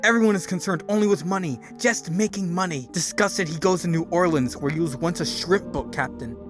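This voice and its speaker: A man with a high-pitched voice reads a story aloud as a dramatic narrator. His delivery is theatrical and emphatic, with music playing behind him. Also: fairly quick. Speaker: narrator doing a dramatic reading. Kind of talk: dramatic reading of a written story.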